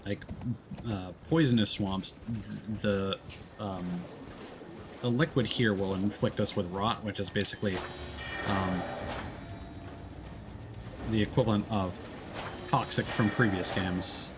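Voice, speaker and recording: Low-pitched man streaming a game. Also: fairly even intonation, takes it slowly, long pauses, noisy background. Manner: explanatory, thinking aloud. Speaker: streamer playing a video game. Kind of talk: casual let's play commentary.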